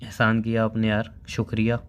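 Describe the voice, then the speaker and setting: A man's voice: a little high, fairly quick, a bit bright, close to an everyday voice. A young man playing a hurt, sulky boyfriend in an everyday phone-call drama, sarcastic and reproachful.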